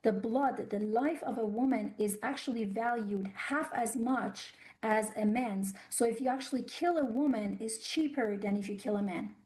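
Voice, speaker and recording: A woman from Iran, a guest in a news interview, speaking earnestly and haltingly with many fillers and restarts. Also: fairly high pitch, darkish tone. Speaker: guest commentator on human rights.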